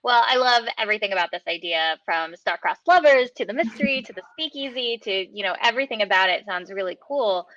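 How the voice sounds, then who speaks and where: A woman's voice: mid-range voice, lively intonation, fairly quick, hardly any pauses, a bit bright, no echo, dull audio. A woman hosting a casual interview as the interviewer. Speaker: host and interviewer.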